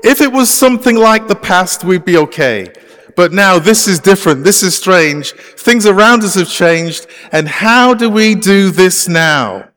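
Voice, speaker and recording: A man's voice: high-pitched, expressive, unhurried, a bit bright, big-room sound. A middle-aged man from England with an English accent, preaching a conversational sermon with many fillers. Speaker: pastor. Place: church sanctuary.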